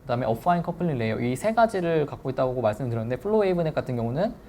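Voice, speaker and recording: Mellow-voiced lecturer, soft dark tone, slight noise. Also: mid-range voice, very animated, racing. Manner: explanatory, step-by-step, checking back with listeners. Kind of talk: technical seminar lecture.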